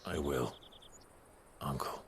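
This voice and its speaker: A man's voice: a bass voice, slow, slight background noise. A man playing a brooding, determined warrior in a video game cutscene, speaking in terse, understated lines. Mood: grim resolve.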